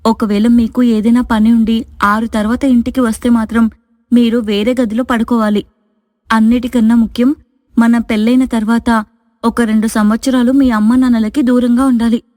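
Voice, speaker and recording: Earnest young wife, acted by a woman, even-toned. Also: on the high side, normal speed, no echo, clean studio sound, natural voice. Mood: firm. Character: reserved young wife with a secret curse.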